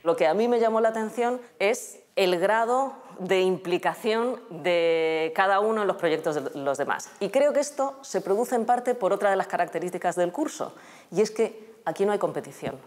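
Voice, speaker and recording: A woman's voice: mid-range voice, expressive, moderate pace, a little mellow, in a large hall. A woman reflecting on a film course in an interview, gesturing as she talks. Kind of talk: interview answer. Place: bright room with windows.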